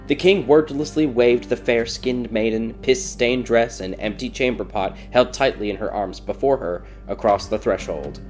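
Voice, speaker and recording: A man's voice: fairly low pitch, darkish tone. A man reading a scripted fairy tale as a dramatic audiobook narrator.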